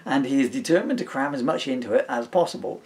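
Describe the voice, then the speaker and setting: A man's voice: medium pitch, lively intonation, fast talker, a little mellow. A middle-aged British man chatting casually and reading his own comic writing aloud, rambling and playful as he digresses. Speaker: father, author and stage performer. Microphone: camera mic.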